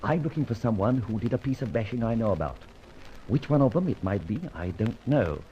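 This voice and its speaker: A man's voice: on the low side, expressive, a little fast, natural voice. An actor playing a male detective who poses as a boastful small-time crook, theatrical and wry in old-time radio detective style. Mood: wry, determined. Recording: muffled, hissy old radio recording.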